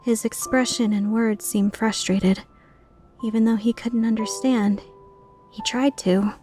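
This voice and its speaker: A woman playing an earnest, timid, tender-hearted young woman in a dubbed visual novel, speaking in a measured way. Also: fairly high pitch, steady tone, mellow, faint music behind, natural voice. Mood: moved. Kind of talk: dubbed visual novel acting with inner narration.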